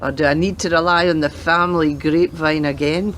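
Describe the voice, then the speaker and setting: An actor playing a proud retired police officer, gently probing and deliberate, in a radio play. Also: mid-range voice, fairly even intonation, a little hiss or hum, close to an everyday voice. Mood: teasing, proud. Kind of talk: radio drama dialogue.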